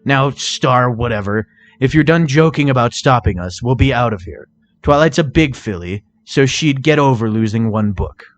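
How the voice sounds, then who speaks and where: A man's voice: medium pitch, lively intonation, normal speed, lightly acted. A man voicing a smug male pony thief, arrogant and mocking, in a storybook reading. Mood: irritated. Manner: sneering, arrogant.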